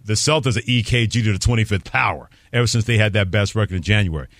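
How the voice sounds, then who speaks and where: A man's voice: on the low side, fast, fairly bright tone, dry recording. An American man hosting a sports talk radio show with opinionated banter, emphatic and fond of piling up phrases.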